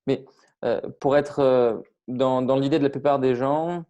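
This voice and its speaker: A man's voice: medium pitch, fairly even intonation, measured pace, warm tone, lo-fi sound. A middle-aged man from Iran, a public speaking trainer, talking casually with many hesitations and restarts. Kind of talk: casual interview on video call.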